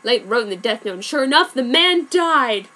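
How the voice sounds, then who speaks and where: A woman reading a fan story aloud, deadpan and mock-serious. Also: fairly high pitch, moderate pace. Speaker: reader. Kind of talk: dramatic fanfic reading. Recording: background music. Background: soundtrack music.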